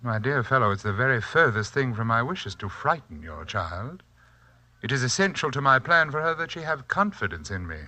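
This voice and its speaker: An actor playing a polite, composed, sinister mystic uncle with soft, formal, condescending speech in 1940s radio drama style. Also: male voice, mid-range voice, sing-song, normal speed, no echo, heavily acted. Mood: veiled menace. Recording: vintage 1940s radio recording.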